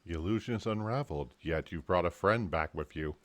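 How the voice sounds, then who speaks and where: A man voicing an aloof, inquisitive female mage in an amateur game dub, lifting his voice into a measured higher register. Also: close to an everyday voice. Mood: cool.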